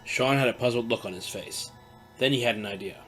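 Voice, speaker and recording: A man narrating an audio drama in an even storytelling way. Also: mid-range voice, a little fast, poor audio. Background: faint background music.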